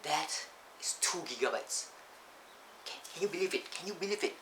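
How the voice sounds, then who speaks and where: A man's voice: lively intonation, normal speed, a bit bright. Rambling Malaysian man on a thin camcorder mic. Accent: Malaysian English. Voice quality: thin sound, lacking bass. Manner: rambling, digressive, self-correcting. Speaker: vlogger. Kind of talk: casual rambling vlog talk. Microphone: built-in camcorder mic. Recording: camcorder audio with clear background noise.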